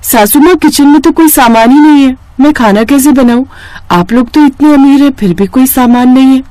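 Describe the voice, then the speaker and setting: Lady doing a shy young bahu in a moral story, high voice, polite n kinda embarrassed. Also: normal speed, dark tone, no echo, natural voice, rough recording. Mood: puzzled, troubled. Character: timid, embarrassed young daughter-in-law, polite but troubled.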